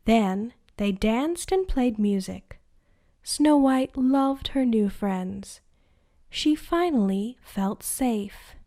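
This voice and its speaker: A woman narrating a bedtime story at a very slow, lulling pace. Her voice is soft and hushed, often dropping to a breathy near-whisper meant to soothe children to sleep. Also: fairly high pitch, lively intonation. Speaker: host and storyteller.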